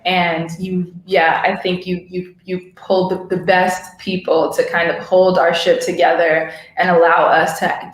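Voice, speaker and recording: A woman speaking reflectively as a co-host, searching thoughtfully for her phrasing, recorded in an echoey room. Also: lowish voice. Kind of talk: reflective conversation.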